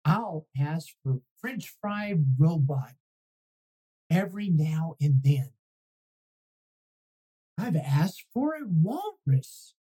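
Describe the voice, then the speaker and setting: A man voicing an indignant, dramatic pigeon in a storybook read-aloud, pleading and complaining in a theatrical, drawn-out way. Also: fairly high pitch, sing-song, dark tone, slightly rough, heavily acted. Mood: pleading, boastful.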